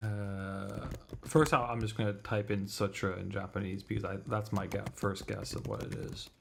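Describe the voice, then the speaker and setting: A man's voice: on the low side, little pitch movement, moderate pace, gravelly, noisy background. A man doing casual livestream commentary on a visual novel, thinking aloud in a muttering way and swearing casually. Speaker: streamer reading a visual novel.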